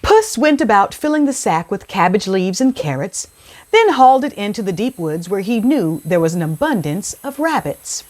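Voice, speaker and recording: A woman hosting a children's read-aloud, speaking in a deliberate, clearly phrased storytelling style. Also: mid-range voice, sing-song, darkish tone.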